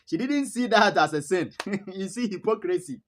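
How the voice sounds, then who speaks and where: A man's voice: high-pitched, expressive, normal speed, fairly bright tone, slight background noise. A man from Ghana with a Ghanaian English accent, casually telling stories to camera as a vlogger, chuckling often and repeating words for emphasis.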